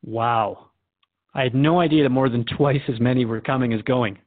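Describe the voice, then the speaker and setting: A man's voice: medium pitch, normal speed, no echo, phone-quality sound, close to an everyday voice. A man playing a curious, polite radio host in an audio drama, interviewing in a courteous, conversational way. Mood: inquisitive, agreeable.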